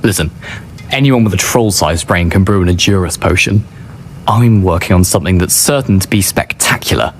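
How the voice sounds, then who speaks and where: A man's voice: low-pitched, moderate pace, a little hiss or hum. A man voicing a cheeky, persuasive teenage schoolboy in a video game, eager and coaxing, with a British accent. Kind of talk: video game character acting.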